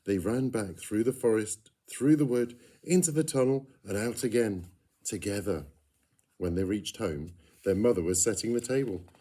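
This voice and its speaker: A man reading a picture book aloud in an expressive storytelling style. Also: fairly low pitch, normal speed. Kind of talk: expressive children's story narration.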